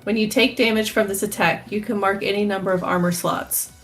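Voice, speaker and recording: Woman playing a tabletop game, casual chat, average pitch, bit of music behind. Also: fairly even intonation, brisk.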